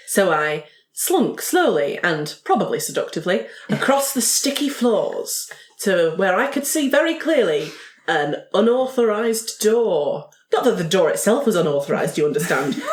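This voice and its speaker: A woman's voice: on the low side, lightly acted. A woman voicing an arch, flirtatious lady snoop, theatrical and wordy, in a tabletop game. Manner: mock-polite, wordy, theatrical. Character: arch, flirtatious lady snoop narrating her own exploits. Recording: roomy echo.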